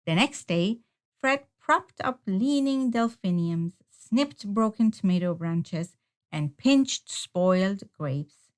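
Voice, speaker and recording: Lady doing storytime read-aloud, slow and expressive, mid-pitched. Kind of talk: children's picture book read-aloud.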